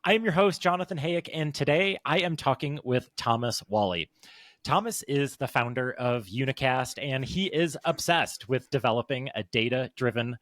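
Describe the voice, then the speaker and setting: A man's voice: medium pitch, normal speed, a bit bright, dry recording, studio quality. A man hosting a podcast, opening with an upbeat scripted introduction and then asking interview questions with emphatic delivery. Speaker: podcast host.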